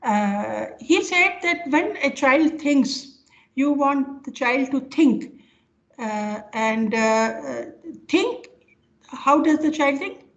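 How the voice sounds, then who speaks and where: An older woman with an Indian accent giving an informal academic lecture over a video call, speaking haltingly as she explains with examples. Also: high-pitched, lively intonation. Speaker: professor giving an online lecture. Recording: dull, lo-fi online call audio.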